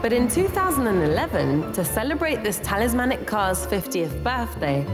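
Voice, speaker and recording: A woman reading scripted documentary narration in a measured, presentational way. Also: fairly high pitch, very animated. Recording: long reverb, loud music underneath. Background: loud music.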